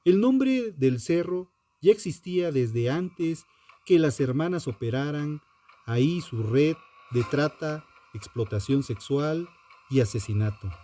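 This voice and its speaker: A man, a podcast host delivering scripted true-crime narration in a dramatic, deliberate way with pauses. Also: a little high, animated, mellow.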